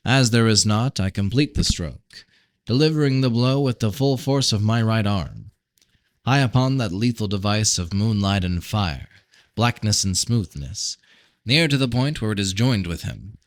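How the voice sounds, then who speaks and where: A man reading a fantasy novel as scripted narration, with dramatic, measured delivery. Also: on the low side, expressive. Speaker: audiobook reader.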